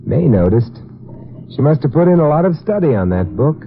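Sardonic detective, wry, self-assured, swooping intonation, 1950s radio drama, thin phone-like sound with slight hiss. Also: male voice, on the high side, very animated, fairly quick. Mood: cool, brisk. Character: suave, sardonic male amateur detective. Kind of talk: 1950s radio mystery acting. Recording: tinny, noisy old radio recording.

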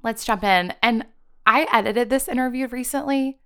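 A woman's voice: on the high side, normal speed, fairly bright tone, smooth. A woman co-hosting a podcast in casual chat, with an enthusiastic, supportive manner. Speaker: podcast co-host.

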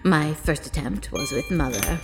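A woman's voice: low-pitched, expressive, normal speed, music in the background. An actress playing an ancient, demented female inventor in an audio drama, doting and manic, savoring her words theatrically. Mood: manic, confiding. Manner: theatrical, savoring words, breathy gasps and laughter.

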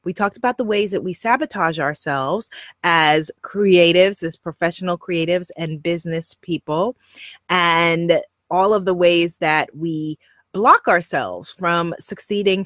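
Woman coach with a Guyanese accent, unhurried and emphatic, dry close sound. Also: fairly low pitch, no echo. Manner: upbeat, animated, emphatic and encouraging. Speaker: online course host and coach. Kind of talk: direct-to-camera e-course lesson.

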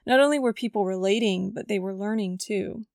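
A woman with a scratchy, gravelly voice hosts a devotional podcast at a middle pitch. She reads her personal story from a script in an earnest, reflective way. The close mic gives a dry sound with no room echo. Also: brisk, darkish tone. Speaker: podcast host.

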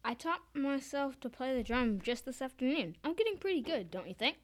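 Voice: sits high, expressive, fairly quick, dry recording. A performer playing an eager, oblivious little boy in an amateur comic radio play, cheerfully persistent. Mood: eager, proud.

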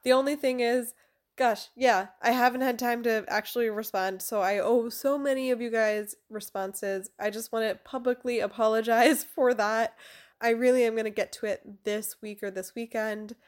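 A woman's voice: on the high side, fairly even intonation, moderate pace. A woman in her twenties hosting a podcast, chatty and informal, with a self-deprecating way of talking. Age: 20s. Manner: informal, self-deprecating, given to giggling asides. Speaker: podcast host. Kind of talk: chatty podcast intro and interviewing.

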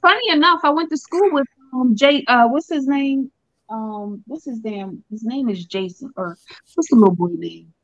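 A woman's voice: high voice, animated, normal speed, a bit bright, no echo, dull audio. A woman from North Carolina chatting casually. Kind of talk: casual chat.

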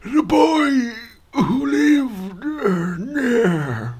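A man voicing a boastful, sneering male dark wizard in a mocking, overblown way. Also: very high-pitched, very animated, very slow, husky, pitched above the performer's own voice, full character voice. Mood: mocking wail. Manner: mocking, overblown, cracking into whispers.

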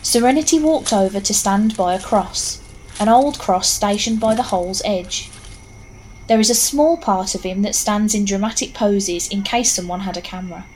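A woman's voice: medium pitch, moderate pace, darkish tone, a little hiss or hum, poor audio. A woman narrating a scripted story in a wry, conversational way with knowing asides.

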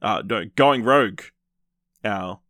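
A man hosting a podcast, casually reviewing films in a rambling, halting way with repeated false starts. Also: lowish voice, bright, forceful tone, no echo, studio quality. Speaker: podcast host. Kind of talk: casual film review chat.

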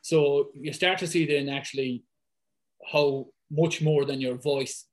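A man in his thirties with a thick Irish accent, talking as a guest in a casual podcast conversation, lively and full of fillers. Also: a little high. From west of Ireland. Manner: fast, lively talker, many fillers. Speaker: guest, football fitness coach.

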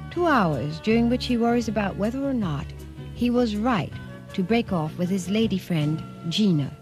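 Woman narrator for a radio play, measured storytelling, medium pitch, music playing underneath. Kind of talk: scripted radio drama narration.

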